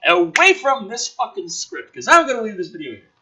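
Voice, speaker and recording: An exasperated man delivers a sarcastic, sweary rant as a review host. His voice sits high and has a bright, forceful edge. The pitch swings around in a very lively way as he argues his points. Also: a little fast, gravelly. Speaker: host of a video script-review series. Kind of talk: ranting commentary mixed with dramatic script reading.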